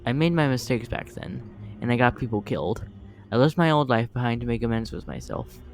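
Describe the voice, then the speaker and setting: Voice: medium pitch, expressive, fairly quick, a little mellow, close to an everyday voice. A performer playing an earnest, defiant comic-book hero in an amateur dramatic reading, with emotional delivery. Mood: remorseful, defiant. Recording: music behind the voice.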